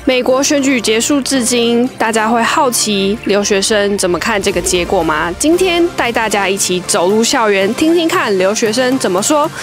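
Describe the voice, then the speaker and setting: A woman's voice: fairly high pitch, expressive, normal speed, hardly any pauses, music in the background. A woman reporter, giving an upbeat scripted introduction to a street-interview segment.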